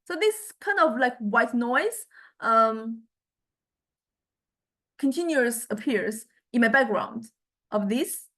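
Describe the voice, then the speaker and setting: High-voiced woman lecturer, halting delivery. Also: expressive, fairly bright tone. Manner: halting, explanatory, often checking in with "right" and "okay". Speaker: university lecturer. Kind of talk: informal software demonstration lecture.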